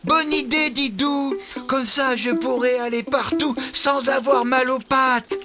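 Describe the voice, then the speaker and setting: Weary cartoon critter mailman, high voice, drags words out slow and plaintive. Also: fairly even intonation. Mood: delighted. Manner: slow, plaintive, drawn-out complaining. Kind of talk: children's cartoon dialogue. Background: music.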